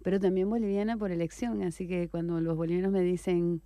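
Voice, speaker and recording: Low, dark-voiced reflective woman writer. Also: fairly even intonation, no echo. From Venezuela. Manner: reflective, unhurried, with soft hesitations and tag questions. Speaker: writer and interview guest. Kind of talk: radio interview answers.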